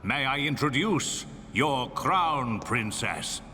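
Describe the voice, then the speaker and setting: A grand declaiming emperor, echoing hall. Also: male voice, mid-range voice, big pitch swings, slow pace, pushed and bright, full character voice. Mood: proud, solemn. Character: stately, proud middle-aged emperor addressing his subjects. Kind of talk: formal ceremonial public speech. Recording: big reverberant space, clear background ambience noise.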